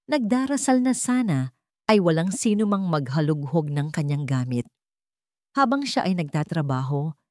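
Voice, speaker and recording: A woman's voice: fairly low pitch, warm tone, no echo, clean studio sound. A woman with a Filipino accent reading a scripted dramatic story as its narrator.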